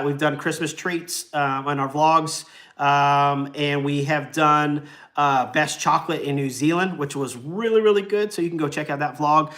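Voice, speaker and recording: A man's voice: a little high, a bit bright. A man of forty, chatting casually and rambling with lots of ums while hosting a travel livestream. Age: 40s. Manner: rambling and easygoing, many ums and uhs, chuckles.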